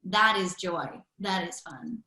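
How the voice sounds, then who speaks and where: Upbeat woman host, bright voice. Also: on the low side, roomy sound, low-quality recording. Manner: enthusiastic, laughs often, many quick affirmations. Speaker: podcast host. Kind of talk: upbeat interview with quick-fire questions.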